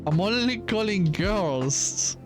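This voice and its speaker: A man giving casual, halting game commentary and reading dialogue aloud, with a bored, dismissive manner. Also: sits high, lively intonation. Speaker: gaming video host. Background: music behind the voice.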